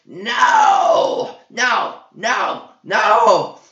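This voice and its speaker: Desperate storybook servant, high squealing shriek, roomy echo. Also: male voice, wildly swooping pitch, drawn-out words, sharp tone, heavily acted. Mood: frantic despair. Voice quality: shrill, squealing. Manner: shrieking, frantic. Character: shrieking, desperate, grief-crazed servant clutching at treasure. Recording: dull roomy echoing home recording.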